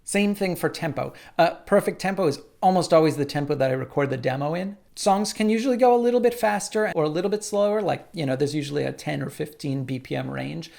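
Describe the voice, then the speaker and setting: A man casually explaining his songwriting workflow in a relaxed tutorial, with frequent "uh" and "like". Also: on the high side, quick pace, creaky, hardly any pauses. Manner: relaxed, lots of "uh" and "like".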